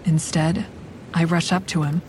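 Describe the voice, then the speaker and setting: An actress playing a clever, manipulative woman who narrates a crime thriller audio drama in a measured, deliberate way. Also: sits low, fairly even intonation, background noise. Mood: cool, calculating. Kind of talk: scripted first-person audio drama narration.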